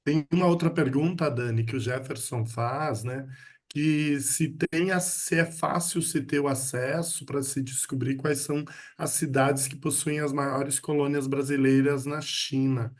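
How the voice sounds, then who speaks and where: A man hosting a business webinar over a video call, relaying audience questions in a relaxed way. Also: medium pitch, measured pace. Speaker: webinar host. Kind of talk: moderating a webinar.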